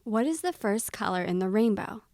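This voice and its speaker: A woman's voice: medium pitch, expressive, moderate pace, darkish tone, no room sound, clean studio sound, lightly acted. A woman voicing a pleasant, encouraging male schoolteacher who puts questions to a class in a children's story. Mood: questioning.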